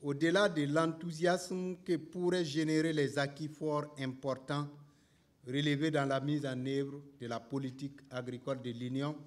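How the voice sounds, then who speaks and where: A man reading a formal speech as an official. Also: mid-range voice.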